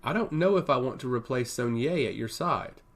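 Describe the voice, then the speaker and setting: A man acts out a poised young princess in a fantasy audio story. The speech is formal, polite and earnest, with a lively rise and fall in pitch. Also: moderate pace. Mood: earnest, torn.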